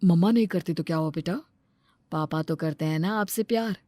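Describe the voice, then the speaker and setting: गंभीर, बच्चों का रक्षक पिता महिला के अभिनय में. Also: female voice, fairly low pitch, a little fast, mellow, dry recording, close to an everyday voice. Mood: tender. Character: earnest, protective young father in a cartoon story.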